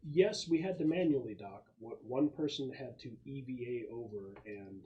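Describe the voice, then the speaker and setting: A man's voice: mid-range voice, animated, moderate pace, dark tone, dull audio. A man improvising explanations as the game master of a tabletop role-playing game.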